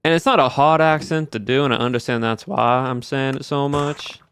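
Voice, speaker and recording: A man's voice: mid-range voice, steady tone, moderate pace, a bit bright, a little hiss or hum. A man with a put-on American Southern accent and a lax drawl that draws out sentence endings, chatting casually on a game stream. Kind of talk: casual gameplay livestream chat.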